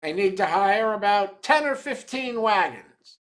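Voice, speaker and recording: Narrator playing a courteous, slow-spoken old trapper. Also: male voice, high voice, lively intonation, fairly bright tone. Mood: matter-of-fact. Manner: courteous, deliberate. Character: calm, determined old North Dakota trapper, polite stranger. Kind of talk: storybook dramatic reading.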